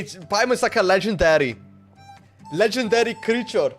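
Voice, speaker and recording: A man giving casual live commentary while gaming, halting and repeating words as he goes. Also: sits high, fairly bright tone. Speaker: game livestreamer. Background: faint game music.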